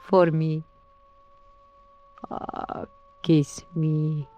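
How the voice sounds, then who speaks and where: Bold seductive adventuress in vintage radio drama. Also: female voice, low-pitched, drawn-out words, muffled recording. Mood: seductive, admiring. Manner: theatrical, formal, flattering phrasing. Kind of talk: old-time radio adventure acting. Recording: old radio recording with music behind.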